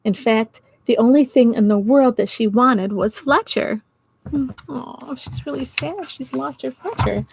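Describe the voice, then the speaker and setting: A middle-aged or older woman reading a storybook to children, expressive and giggly, pausing to chat with her listeners. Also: fairly high pitch, creaky, a little hiss or hum. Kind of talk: storytime read-aloud for children. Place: small indoor home office.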